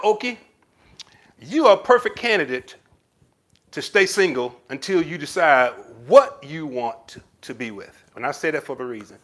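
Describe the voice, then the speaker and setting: A man hosting a casual live stream, reading viewer comments and giving relationship advice, calling viewers baby. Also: on the high side. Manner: animated, addresses viewers with pet names like baby. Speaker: livestream host answering viewer comments.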